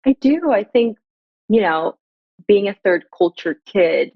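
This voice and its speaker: A woman's voice: medium pitch, lively intonation, dry recording, phone-quality sound. A young woman from Sweden, a brand director, speaking thoughtfully as a guest in a podcast interview. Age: young adult. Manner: thoughtful, measured, with frequent "um" and "you know".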